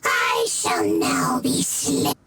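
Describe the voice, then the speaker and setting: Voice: low-pitched, wildly swooping pitch, very slow, heavily acted. An actor voicing a sly, gloating villainous creature in a video game, theatrical and drawn out. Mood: gloating, thwarted. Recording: loud music over the voice.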